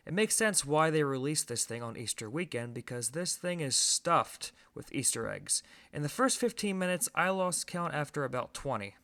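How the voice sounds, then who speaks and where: A man's voice: fairly high pitch, lively intonation, normal speed, bright, forceful tone. A man giving a scripted film review as host, conversational and opinionated, with emphatic stresses.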